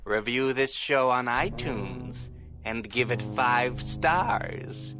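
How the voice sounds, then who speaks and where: A man's voice: mid-range voice, takes it slowly, music in the background, lightly acted. A man putting on a creepy, taunting horror host for a scripted podcast outro. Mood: teasing, menacing.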